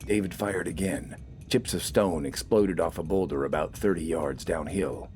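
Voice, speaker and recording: A male audiobook narrator with a fairly low voice. He reads scripted dramatic fiction, with faint music playing underneath. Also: normal speed, a little mellow.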